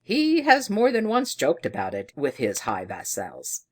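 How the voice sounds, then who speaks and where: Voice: mid-range voice, wildly swooping pitch, measured pace, slightly rough, exaggerated voice. A narrator voicing an eager, jovial male scholar-clergyman in a storybook, bookish and emphatic. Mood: eager, cheerful.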